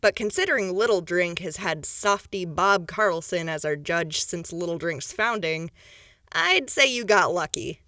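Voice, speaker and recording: A brisk, no-nonsense small-town official lays things out plainly. The voice is bright and mid-pitched, recorded close and dry with no room sound. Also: female voice, expressive, no room sound. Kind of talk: radio drama acting.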